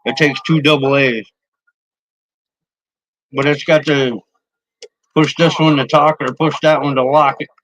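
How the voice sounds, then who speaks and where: A man's voice: on the high side, steady tone, measured pace, long pauses, fairly bright tone, poor audio. A man rambling casually about his CB radio microphones, swearing freely and often restarting his sentences. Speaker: CB radio hobbyist streamer. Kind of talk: casual rambling show-and-tell.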